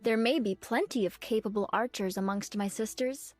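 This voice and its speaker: A woman voicing an earnest, dutiful young nun archer in a video game, speaking politely and formally in measured phrases. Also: mid-range voice, no echo, lightly acted. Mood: proud, reflective. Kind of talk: scripted game character voice lines. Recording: clean dry studio, music behind.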